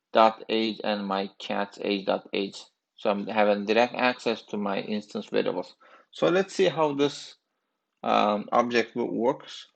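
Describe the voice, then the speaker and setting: A man in his thirties giving a screencast programming lesson, halting and thinking aloud with fillers as he types. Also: fairly low pitch, creaky. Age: 30s. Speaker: programming instructor.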